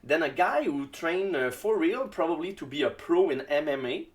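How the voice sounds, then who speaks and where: A man with a Québécois French accent, a native French speaker, giving casual solo jiu-jitsu commentary in halting English full of ums and uhs as he searches for words. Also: fairly high pitch, expressive.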